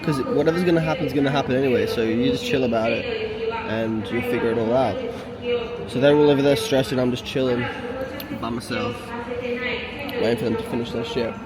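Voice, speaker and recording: A young Australian man, an agency owner, vlogging in a casual, slangy, laid-back way from a plane cabin, often speaking hushed, close to a whisper. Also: medium pitch, fairly quick, pauses often, loud music behind the voice. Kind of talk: casual vlog chat. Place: airplane cabin in flight. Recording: loud airplane engine roar behind.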